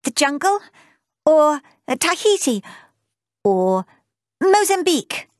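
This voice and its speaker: A woman's voice: fairly high pitch, moderate pace, dry recording, clean studio sound, natural voice. A woman voicing a brave, eager twelve-year-old girl who plays at being an explorer.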